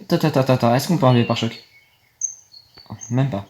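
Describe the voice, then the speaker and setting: A man's voice: medium pitch, quick pace, darkish tone. Young guy, rambling let's-play talk into a phone, light noise behind. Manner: rambling, easily annoyed, talks to viewers. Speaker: gaming video creator. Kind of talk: casual gameplay commentary.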